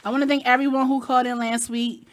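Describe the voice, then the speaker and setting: A woman's voice: high voice, flat delivery, fast, no room sound. A woman radio host with a Baltimore accent, chatting candidly with sassy asides. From Baltimore, Maryland. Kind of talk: casual chat. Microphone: headset.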